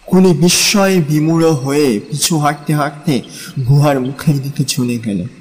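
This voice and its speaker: A man narrating a literary story as a dramatic audiobook, measured and suspenseful. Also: a little high, a little mellow. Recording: long reverb on the voice. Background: faint music.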